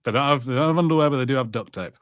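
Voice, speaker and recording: A man's voice: medium pitch, very fast, a bit bright, clean tone, no echo, studio quality. A man co-hosting a podcast, giving his take in casual chat with a blunt, dismissive, informal manner. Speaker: podcast co-host.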